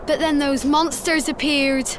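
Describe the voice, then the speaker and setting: Very high, frightened little boy. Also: steady tone, measured pace, background noise. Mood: sheepish, shaken. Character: small mischievous village boy, frightened and sheepish. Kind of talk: video game cutscene acting.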